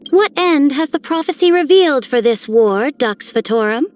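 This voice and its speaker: A woman playing a serene, dignified noblewoman in a video game, formal and measured. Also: high voice, expressive, darkish tone. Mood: solemn.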